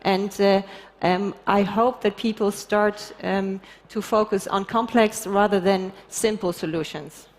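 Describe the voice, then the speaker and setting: A woman's voice: mid-range voice, steady tone, measured pace, slight background noise. A middle-aged woman on a conference panel, searching for words with many ums. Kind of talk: panel discussion. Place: large conference stage. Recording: hall reverb.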